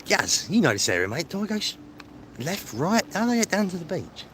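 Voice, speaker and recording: A man's voice: sits high, sing-song, brisk, fairly bright tone. Chatty man, noisy street. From England. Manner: chatty, rambling, matey, self-deprecating. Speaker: livestreaming travel vlogger. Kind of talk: casual live-stream chat. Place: outdoors on streets, walking. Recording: clear outdoor street noise, traffic.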